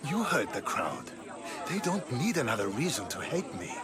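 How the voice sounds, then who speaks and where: A man playing a weary, dignified ancient statesman in a video game, speaking in measured, formal phrases. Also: medium pitch, sing-song, over a noisy crowd, close to an everyday voice. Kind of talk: video game dialogue acting.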